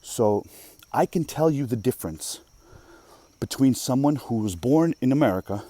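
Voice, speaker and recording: Man from Russia who speaks Russian natively, mid-pitched and mellow, delivering a profane, emphatic off-the-cuff rant. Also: dry recording. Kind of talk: casual rant monologue.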